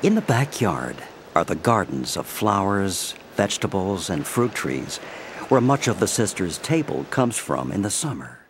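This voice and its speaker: A man with a husky voice presenting and narrating a scripted audio drama in a deliberate, theatrical storytelling manner. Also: fairly low pitch, very animated, slight background noise.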